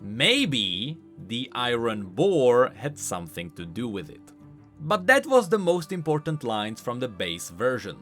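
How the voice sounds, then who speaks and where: A man's voice: mid-range voice, wildly swooping pitch, a little slow, a bit bright. A man hosting an explanatory video game lore commentary, speaking in an emphatic way. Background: faint background music.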